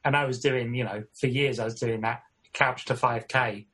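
A man's voice: medium pitch, fairly even intonation, normal speed, a bit bright, husky. A man chatting casually, reflective and self-deprecating about his habits. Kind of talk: casual chat.

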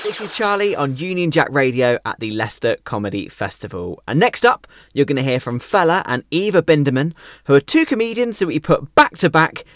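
A man's voice: a little high, sing-song, brisk, fairly bright tone, no echo, studio quality. A man presenting a radio show, interviewing guests and linking into segments. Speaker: radio host.

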